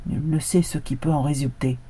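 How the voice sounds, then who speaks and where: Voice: medium pitch, steady tone, a little fast, mellow, slightly rough, lightly acted. A storyteller voicing a loyal guard dog who keeps begging the other animals for help. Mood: pleading. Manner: insistent, pleading.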